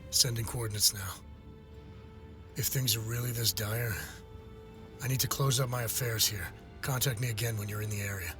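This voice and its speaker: An actor playing a proud, sceptical survey overseer in a video game, with formal and dramatic delivery. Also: male voice, on the low side, fairly even intonation, normal speed, long pauses, over music, lightly acted. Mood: indignant. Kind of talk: cinematic game voice acting.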